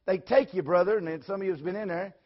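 A man, preaching in a folksy storytelling way, repeating phrases and swinging between loud and soft. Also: sits high, rapid-fire. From Tennessee, USA. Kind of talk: sermon storytelling. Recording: dull lo-fi recording.

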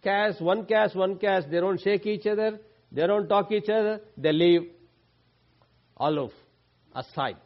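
A man from India with a Telugu-speaking Indian accent, preaching as a guest speaker in a church, with halting, repetitive phrasing and emphatic stresses. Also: high voice. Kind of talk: sermon. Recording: dull lo-fi recording.

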